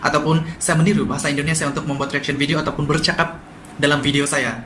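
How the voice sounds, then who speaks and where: A man from Sabah with a Sabah Malay accent, explaining language differences in a quick, lively vlog style and mixing in English words. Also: fairly high pitch. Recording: echoey room with faint noise.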